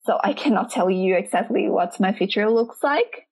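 Brazilian woman interviewee, non-native English, vocal fry, thoughtful and searching. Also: mid-range voice, expressive, moderate pace, a little mellow. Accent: Brazilian Portuguese. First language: Portuguese. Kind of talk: casual interview chat.

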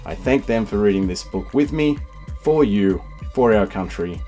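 An Australian man with a deep voice delivers a scripted audiobook introduction as the author. His voice sits low, with music playing underneath. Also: animated, moderate pace. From Sydney, Australia.

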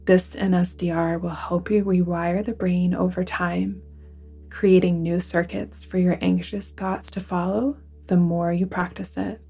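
Mellow, creaky-voiced woman guiding relaxation. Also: fairly low pitch, little pitch movement, faint music behind. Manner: soothing, deliberate, hushed phrase endings. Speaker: yoga nidra guide. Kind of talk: guided relaxation meditation narration.

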